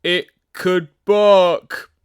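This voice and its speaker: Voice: mid-range voice, fairly even intonation, clear, resonant tone, pitched above the performer's own voice. A reader putting on a prim, condescending rose. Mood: superior. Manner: genteel, condescending, drawn-out. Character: prim, rather severe garden rose.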